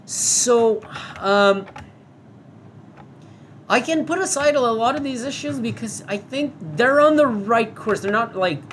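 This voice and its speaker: A woman's voice: a little high, animated, slow pace, pauses often, slight background noise. A woman giving casual, profane commentary while playing a VR demo, often reading in-game signs aloud. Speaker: VR game reviewer.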